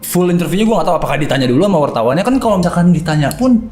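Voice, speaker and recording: A young man with a Jakartan accent talks in loose, slangy Indonesian style, hammering words by repeating them. His voice sits a little high. The room is echoey and music plays underneath. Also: lively intonation, rapid-fire, a little mellow. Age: 20s. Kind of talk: casual chat, slangy.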